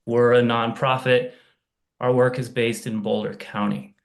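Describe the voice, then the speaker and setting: A man's voice: medium pitch, flat delivery, a little slow, vocal fry. Man commenting over a video call. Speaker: nonprofit advocate. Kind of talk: public comment.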